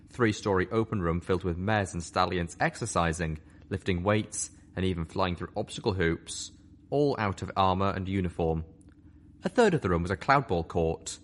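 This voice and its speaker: A man narrating a fan-fiction audiobook with an expressive, animated reading. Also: low voice. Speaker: story reader.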